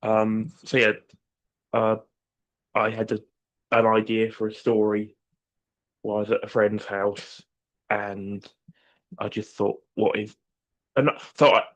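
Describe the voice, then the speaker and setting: A low-voiced man with a sore throat explains his idea over a video call. He speaks in a flat, even tone and hesitates often. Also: highs cut off. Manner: hesitant, halting. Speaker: workshop participant.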